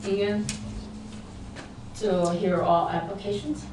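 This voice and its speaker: A woman chairing a town board hearing. She runs it briskly and drops a dry joke now and then. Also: mid-range voice, steady tone. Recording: echoey room, distant mic, clear noise.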